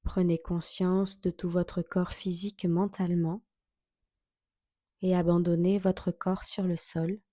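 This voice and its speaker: Woman, meditation instructor, mellow tone, dry close sound, measured soothing guidance, long pauses. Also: fairly low pitch, little pitch movement, no echo. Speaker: yoga nidra instructor. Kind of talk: guided relaxation meditation, spoken instructions.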